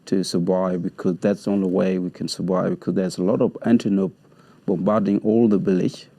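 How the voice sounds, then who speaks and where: A man from answers interview questions. His low, dark voice sounds dry and close, and he speaks in measured, halting phrases with grammar. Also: flat delivery, vocal fry, no room sound. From South Sudan. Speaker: guest, community leader.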